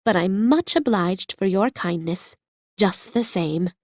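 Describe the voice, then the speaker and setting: A woman's voice: mid-range voice, lively intonation, slow, a little mellow, no room sound, studio quality. A woman voicing a polite, curious little girl adrift at sea in a storybook reading. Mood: polite wonder. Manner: polite and wondering.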